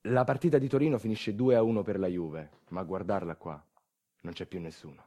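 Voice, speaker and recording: A man delivering a dramatic monologue as a storyteller, intense and colloquial, moving between hushed and loud delivery. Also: low voice, steady tone, fairly quick. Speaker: stage storyteller. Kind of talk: dramatic narrative theatre monologue.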